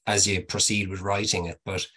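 A man's voice: deep voice, flat delivery, brisk, sharp tone, slightly rough. A man in his thirties giving academic feedback on a video call, hedging with many qualifiers, from a plain room through a laptop mic. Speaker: discussant.

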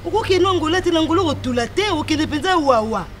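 A young woman with a Congolese accent who dishes out bold, scheming advice to a friend. It is filmed popular theatre out in a garden. Music runs clearly behind her voice. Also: high voice, expressive, fast talker. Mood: persuasive, scheming. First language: Lingala. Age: young adult. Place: outdoors in a walled garden.

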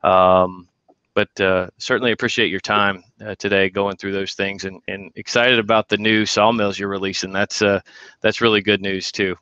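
A man hosting an owners' group on a video call, appreciative and halting, with many fillers. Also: on the low side, flat delivery, sharp tone, smooth, no room sound. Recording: dry, dull video call audio.

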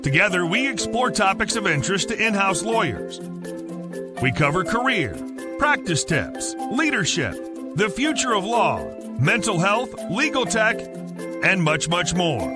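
A slow, measured announcer reads a scripted podcast introduction. The delivery rises and falls in a lively way, with loud music playing behind. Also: medium pitch.

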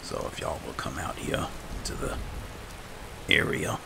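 A man with a halting, low-key, informal way of talking, improvising in character as he hosts a roleplay gameplay session. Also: sing-song, creaky, noisy background. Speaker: gaming host, roleplaying as a guild leader.

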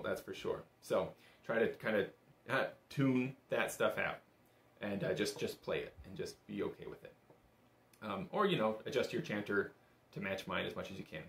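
A man's voice: fairly low pitch, gravelly, a bit bright. A young man teaching a casual music lesson in an encouraging, easygoing, conversational way. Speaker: bagpipe instructor. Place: small indoor room, seated at a table. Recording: roomy indoor echo.

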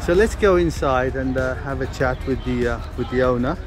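A man hosting a casual travel vlog, talking in a relaxed way with frequent hesitations, interviewing a restaurant owner and showing the menu. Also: mid-range voice, normal speed, music in the background. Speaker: vlogger and interviewer.

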